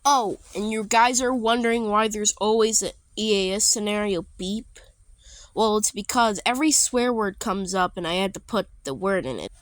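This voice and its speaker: A woman's voice: medium pitch, moderate pace, slight background noise. A woman doing informal narration and amateur acting as a video creator.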